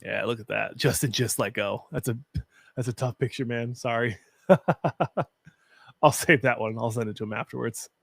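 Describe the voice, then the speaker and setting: A young man with a deep, gravelly voice hosts a live watch party, talking loosely and sharing opinions. His speech is full of fillers. The sound is close and dry, like a desk mic in a small room at home. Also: normal speed, dry recording. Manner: chatty, opinionated, frequent fillers. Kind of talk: casual live-stream commentary.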